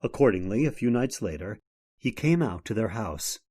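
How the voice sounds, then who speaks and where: A man's voice: fairly low pitch, very animated, normal speed, slightly rough. An actor playing an earnest young man who tells his own horror tale in old-time radio style. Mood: grave, haunted. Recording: radio drama with loud music behind.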